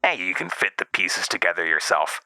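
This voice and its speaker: A man voicing a mischievous, excitable fifteen-year-old boy in a horror drama, with an eager, coaxing manner. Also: low voice, moderate pace, no echo. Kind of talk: acted dialogue within horror drama narration.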